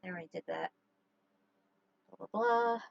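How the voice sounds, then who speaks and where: A woman's voice: on the low side, normal speed, gravelly, no echo. A woman narrating her fan fiction in an informal read-aloud style with casual asides. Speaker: fan fiction reader and host. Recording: dull lo-fi close recording.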